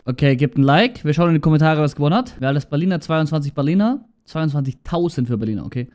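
A young man from southern Germany chatting casually as he reacts to short videos, lively and quick to laugh. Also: on the high side. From Baden-Württemberg, Germany. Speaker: reaction streamer. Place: small room with LED lighting, indoors.

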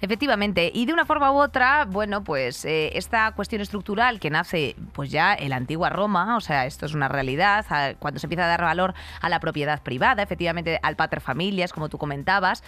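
A woman's voice: lowish voice, expressive, brisk, hardly any pauses, sharp tone. A woman in her thirties with a Spain accent, co-hosting a studio podcast with rambling, colloquial, blunt commentary. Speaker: podcast co-host. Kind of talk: conversational opinionated commentary. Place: indoor radio-style podcast studio. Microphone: studio microphone on desk stand.

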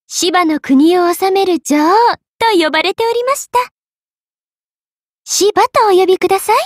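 Game role: teasing young spirit queen, very high voice, unhurried, coy and courteous, dry close studio sound. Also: no echo. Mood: cheerful, coy. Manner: coy, polite, sweetly drawling, sometimes solemn. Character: playful, money-minded young queen, half spirit, teasing yet regal. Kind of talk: Japanese mobile game character voice acting. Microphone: close studio microphone.

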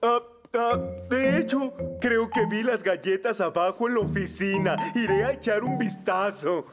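A man's voice: high voice, a little slow, over loud music. A man with a Latin American Spanish accent voicing a clumsy, easily flustered young firefighter in a cartoon dub, fretful and stammering.

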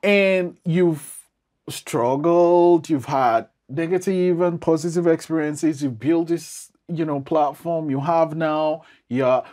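A man's voice: fairly high pitch, slow, darkish tone. A man in his thirties or forties chatting informally as a podcast host, often repeating phrases for emphasis. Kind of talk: casual interview chat. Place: small studio, seated indoors.

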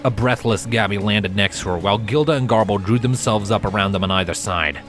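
A man's voice: on the low side, brisk, a bit bright. A man reading scripted fantasy fiction as a storybook narrator. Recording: music bed behind narration.

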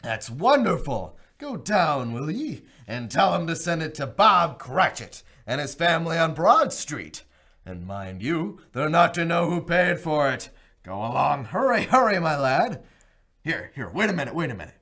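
Casting note: an exuberant old miser in a radio play, slow-spoken with wildly swooping pitch. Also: male voice, big pitch swings, a bit bright, heavily acted. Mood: gleeful. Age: older. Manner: exuberant, laughing, theatrical. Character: elderly male miser, giddy and reformed. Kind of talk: radio drama acting.

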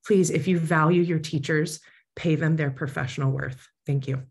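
A woman's voice: low-pitched, fairly even intonation, moderate pace, slightly rough. Woman firmly reading a public comment. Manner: firm, pointed delivery. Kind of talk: reading a prepared statement.

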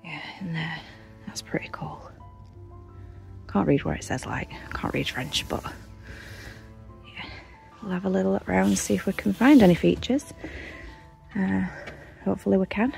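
A young woman who hosts an exploring vlog. She talks in a relaxed, chatty way, with fillers, and also reads narration. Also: medium pitch, a little slow, vocal fry, soft background music. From United Kingdom. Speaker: urban exploration vlogger. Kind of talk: casual vlog chat and scripted narration.

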